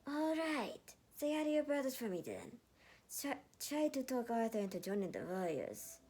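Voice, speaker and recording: A woman voicing a mischievous teenage girl commander in a story, with a brisk, authoritative manner. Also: a little high, very animated, warm tone, breathy edge, voice pushed up, exaggerated voice. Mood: teasing. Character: mischievous, commanding sixteen-year-old female warrior commander.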